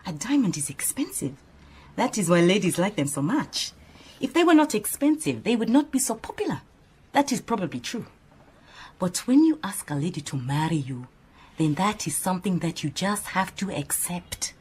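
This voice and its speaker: Animated, opinionated woman assistant. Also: on the low side, normal speed, slightly rough, full character voice. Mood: firm, opinionated. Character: earnest, opinionated female detective's assistant, proud and chatty. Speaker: actor in radio drama. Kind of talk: radio drama acting.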